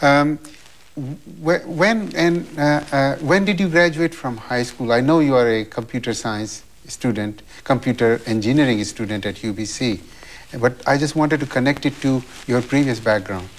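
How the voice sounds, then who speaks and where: Courteous male interviewer. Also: mid-range voice. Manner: courteous, halting with fillers. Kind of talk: interview questions.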